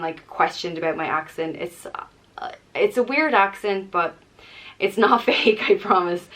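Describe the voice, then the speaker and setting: A woman's voice: on the low side, a bit bright. A young woman from Ireland with an Irish accent tinged with Canadian, chatting casually as a vlogger, reading out questions and answering them with light laughs. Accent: Irish with a Canadian-tinged lilt. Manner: chatty, reads each question aloud then answers it, laughs lightly between thoughts.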